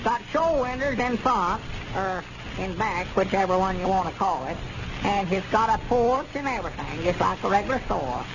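An actor playing a fretful, slow-witted old storekeeper, stammering and hesitant in 1930s radio comedy style. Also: male voice, high-pitched, lively intonation. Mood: flustered. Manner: hesitant, stammering with many uhs. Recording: muffled, hissy 1930s radio transcription.

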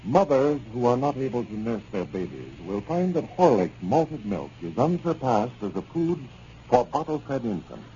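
A man's voice: medium pitch, big pitch swings, normal speed, dark tone. An American man giving a scripted announcement and sponsor narration as a 1930s radio announcer. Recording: muffled, hissy 1930s radio recording.

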